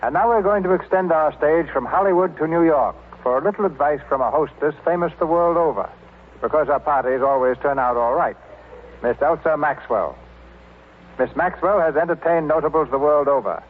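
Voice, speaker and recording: A man's voice: on the high side, fairly quick, slightly rough. A man announcing a 1930s radio programme in a formal, showmanlike scripted style. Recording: tinny 1930s radio recording.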